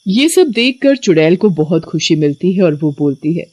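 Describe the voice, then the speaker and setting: A woman's voice: on the low side, sing-song, moderate pace, a little mellow, no room sound. A woman narrator reading a scripted story.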